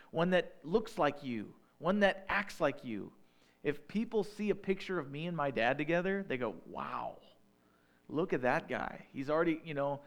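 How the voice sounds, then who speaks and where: A man in his thirties with a Northern Illinois accent, preaching a sermon in a relaxed, conversational way with folksy asides. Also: a little high. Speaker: pastor.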